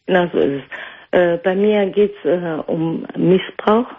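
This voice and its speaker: A woman's voice: lowish voice, a little slow, slightly rough. Hesitant woman caller in her forties. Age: 40s. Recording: phone line on radio.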